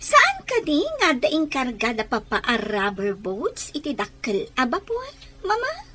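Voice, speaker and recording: A snobbish young heiress in a radio melodrama, with a high, very animated voice. She sounds spoiled and haughty, with faint noise behind her. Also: a little slow, full character voice. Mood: haughty, eager. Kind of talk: radio drama acting.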